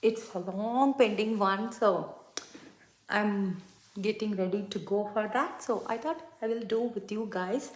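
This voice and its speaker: Woman, Tamil native, slow rambling chat, lots of fillers, echoey room. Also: medium pitch. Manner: chatty, rambling, many fillers. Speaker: makeup consultant and livestream host. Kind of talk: casual livestream makeup tutorial.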